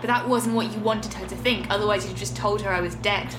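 A woman's voice: medium pitch, steady tone, fast, roomy sound, background noise, lightly acted. An actress playing a wronged, dry and frank young British woman in a naturalistic audio drama. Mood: accusing. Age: young adult.

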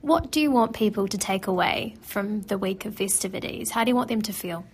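A woman's voice: mid-range voice, a little fast, a little hiss or hum. Conversational New woman host. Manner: conversational, prompting questions. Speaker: radio host. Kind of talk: radio interview.

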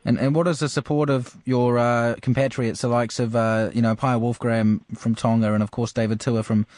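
A man's voice: medium pitch, flat delivery, moderate pace, darkish tone. A man interviewing a boxer on sports radio, stacking up lead-ins before each question.

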